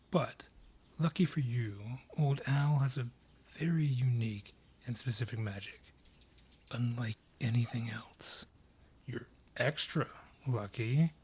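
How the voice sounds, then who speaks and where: A man voicing an ancient, gruff dryad forest guardian in a fantasy audio drama, husky, brusque and ominous in delivery. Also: medium pitch, fairly even intonation, darkish tone. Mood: ominous, wry. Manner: deliberate, brusque, ominous storyteller. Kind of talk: fantasy audio roleplay acting.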